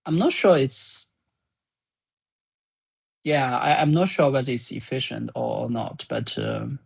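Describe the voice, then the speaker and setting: A slow-talking speaker with a husky, slightly rough voice at a medium pitch. The delivery is informal and halting, like someone thinking aloud while going through meeting notes on a project. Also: huge pitch swings. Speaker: software creator presenting business plan.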